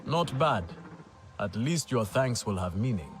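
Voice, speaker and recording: An actor playing a brusque but reverent alien hunting mentor in a video game, curt and instructive. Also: male voice, lowish voice, animated, unhurried, faint music behind. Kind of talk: dramatic video game acting.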